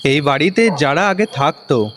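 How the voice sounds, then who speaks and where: A man's voice: fairly high pitch, lively intonation, moderate pace, fairly bright tone. A man playing a grave friend who passes on eerie warnings in an audio ghost story, in a reverberant, noisy recording. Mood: grave, ominous. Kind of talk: dramatised ghost story dialogue. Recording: long reverb, noisy background.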